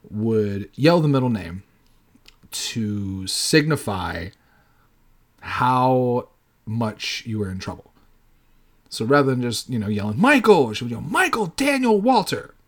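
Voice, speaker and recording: Guy late 30s doing a solo podcast, really lively pitch, rambles and swears, super casual. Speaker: podcast host.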